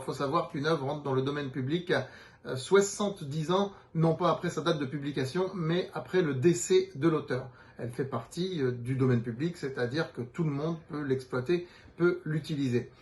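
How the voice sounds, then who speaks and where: A man giving an unscripted promotional presentation as a publisher's representative, explaining things in a hesitant way. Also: a little high.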